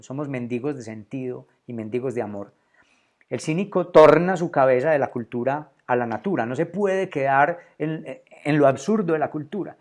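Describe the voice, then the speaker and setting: A man's voice: mid-range voice, a little mellow. A man, maybe, giving a solo philosophy lecture to camera, thinking aloud, restarting phrases and repeating words as he searches for the right term. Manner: thoughtful, with frequent restarts and repeated words while searching for terms.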